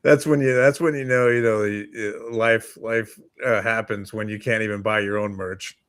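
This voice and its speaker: A man co-hosting a casual morning talk show, speaking in an informal, rambling way with halting phrasing. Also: fairly low pitch, fairly even intonation, fairly bright tone, smooth.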